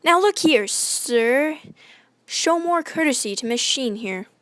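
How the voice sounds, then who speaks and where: A woman playing a proud, hot-tempered gentleman in a radio drama, formal and combative in manner. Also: sits high, lively intonation, takes it slowly, no room sound, exaggerated voice. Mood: irritated.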